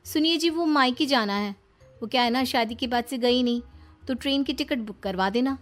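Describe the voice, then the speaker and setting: A woman's voice: high voice, moderate pace, a bit bright, soft background music, pitched above the performer's own voice. A woman voicing a young, devoted and shrewd daughter-in-law in a cartoon family story, pleading and coaxing sweetly.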